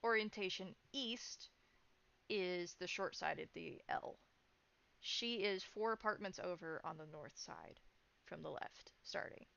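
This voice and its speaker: A woman's voice: fairly low pitch, unhurried, vocal fry, fairly bright tone, lo-fi sound. A woman running a tabletop game, improvising narration and explaining scenes in descriptive detail. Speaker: game master.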